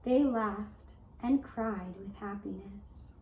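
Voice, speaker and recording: Female storyteller for a puppet show. Soft, deliberate, extremely slow delivery with gentle pauses, slight noise behind. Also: medium pitch, reverberant. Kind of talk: gentle scripted storytelling narration.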